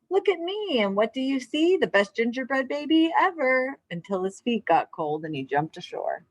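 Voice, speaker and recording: A woman with a mid-pitched voice talks to children as their teacher. She reads and explains in simple, encouraging terms, in a dry recording with little room sound. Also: no echo, low-quality recording. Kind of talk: storybook read-aloud and instruction for children.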